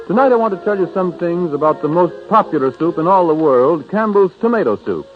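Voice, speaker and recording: A male announcer with a fairly high, very animated voice sells in polished 1930s radio style. His delivery is emphatic and deliberate salesmanship. The recording is old and muffled. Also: over music. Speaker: radio announcer and sponsor's pitchman. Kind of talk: 1930s radio advertising narration.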